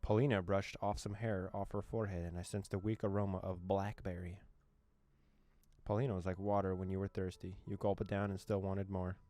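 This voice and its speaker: A man reading a visual novel's text aloud as a streamer, with quick joking asides. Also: low voice, little pitch movement, dark tone, no echo. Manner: reads dialogue aloud, breaks off with joking asides and laughs. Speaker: gaming streamer. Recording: faint game music behind.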